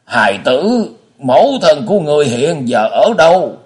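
A man voicing a remorseful, frail old blind prisoner father in an audiobook story, with slow, sighing, drawn-out speech. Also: fairly high pitch, big pitch swings, darkish tone, pitched above the performer's own voice. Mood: pleading.

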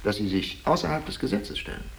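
Stern formal interrogator, lowish voice, widely varied intonation, admonishing, vintage radio drama with faint hiss. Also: male voice, animated, fast talker, gravelly. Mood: grave warning. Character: stern, grave male official questioning a prisoner. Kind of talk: 1950s German radio play acting. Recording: hissy 1950s radio recording.

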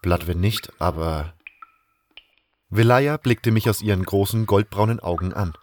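A man's voice: fairly low pitch, a little slow, darkish tone, slight background noise, close to an everyday voice. A man playing an earnest, brooding adventurer who tells his own story in a fan audio drama. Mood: resolved, subdued. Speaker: narrator and lead actor in a fan audio drama. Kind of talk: first-person dramatic narration and dialogue.

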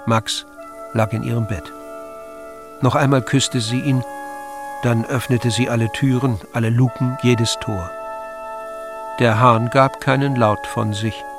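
Male narrator, mid-pitched voice, literary audiobook reading, music clearly underneath. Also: fairly even intonation, a little slow, long pauses.

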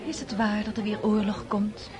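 Actress as a coy, flirtatious countess. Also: female voice, medium pitch, level tone, normal speed, crowd noise, natural voice. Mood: coy, teasing. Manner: coy, teasing. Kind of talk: radio drama acting.

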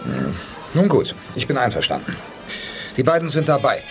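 A calm, decisive starship commander. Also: male voice, medium pitch, gravelly, over loud music. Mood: steady. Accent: German. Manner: reasoning, measured, emphatic. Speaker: lead character. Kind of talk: 1980s German radio play acting.

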